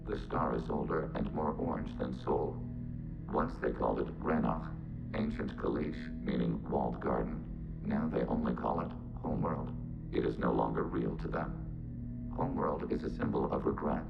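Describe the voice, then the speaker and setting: An extremely low, heavily creaking robotic voice, a calm machine intelligence from a sci-fi game. It speaks in measured, literal, detached phrases. Loud music sits behind the muffled sound. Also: male voice, exaggerated voice. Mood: detached, matter-of-fact. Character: calm synthetic machine being speaking for a collective.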